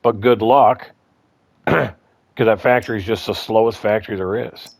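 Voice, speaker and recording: Guy with a lowish voice kinda hoarse, rambling through a game walkthrough, dry close mic. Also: steady tone, moderate pace, no echo. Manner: rambling, repeats himself, lots of ums and restarts. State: slightly hoarse, clearing throat. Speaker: gaming guide host. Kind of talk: casual gameplay walkthrough.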